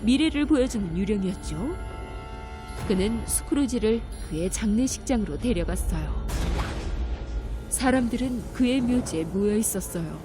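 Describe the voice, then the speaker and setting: A woman narrating a children's fairy tale in a gentle, clear storytelling manner. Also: medium pitch, animated, normal speed. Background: music.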